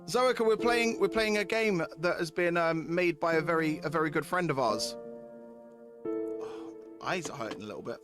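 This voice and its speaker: Guy streaming games, bit high pitched, rambly chat to viewers, repeats himself, music in the background. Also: normal speed. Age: adult, parent of young kids. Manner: chatty, informal, repeats himself, swears freely. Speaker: game streamer. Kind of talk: casual livestream chat with viewers. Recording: music playing behind the voice. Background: clear background music.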